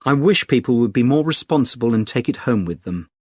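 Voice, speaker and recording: A man with a British accent playing a friendly, helpful club secretary in a clearly enunciated scripted listening-test conversation, polite and explanatory. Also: mid-range voice, animated, fairly quick, a little mellow, dry recording, clean studio sound. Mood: helpful, informative. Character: friendly, helpful male secretary of a conservation group. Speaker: actor in a listening test dialogue.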